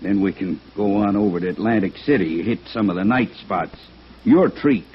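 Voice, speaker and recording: A breezy, self-assured American gumshoe in a vintage radio detective show. He sounds husky and folksy, and the old recording is dull and lo-fi. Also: lowish voice, moderate pace. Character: cocky, breezy male private eye. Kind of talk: 1950s radio detective drama acting.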